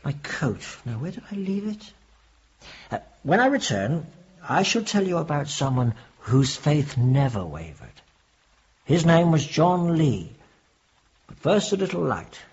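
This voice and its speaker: An actor playing a kindly, talkative elderly clergyman in a radio drama, with a husky voice and courtly, old-fashioned speech. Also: a little high, big pitch swings, unhurried, darkish tone, dull audio, full character voice. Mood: fussing, kindly. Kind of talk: theatrical radio drama acting.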